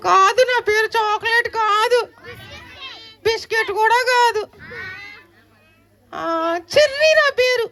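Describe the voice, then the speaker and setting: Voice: extremely high pitch, takes it slowly, pauses often, sharp tone, a little hiss or hum, exaggerated voice. A puppeteer voicing a hungry, chatty child puppet that pleads and calls out to children in a live show. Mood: playful, teasing.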